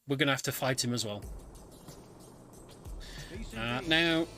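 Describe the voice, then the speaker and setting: Bright-voiced man commentating a video game. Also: a little high. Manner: explanatory, narrates the story while playing. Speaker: speedrunner commentating own game run. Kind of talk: live gameplay commentary, casual.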